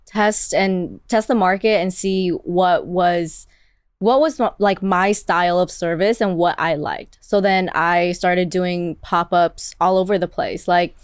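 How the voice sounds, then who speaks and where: A woman's voice: fairly low pitch, steady tone, unhurried, smooth, dry recording, studio quality. A young Vietnamese-born woman whose first language is Vietnamese, a chef telling her story in a casual interview, chatting with lots of "like" fillers. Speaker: chef guest.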